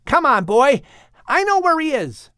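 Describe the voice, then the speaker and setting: A man's voice: very high voice, sing-song, a little slow, bright, forceful tone, dry recording, clean studio sound, pitched above the performer's own voice, full character voice. A man voicing an anxious young man in an audiobook adventure, calling out urgently. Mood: urgent, alarmed.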